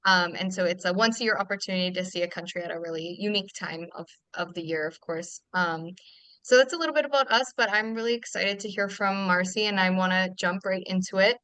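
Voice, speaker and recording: Bright, upbeat woman hosting a webinar. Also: mid-range voice, fast talker, smooth, no echo, low-quality recording. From Canada. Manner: upbeat, many fillers. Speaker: webinar host.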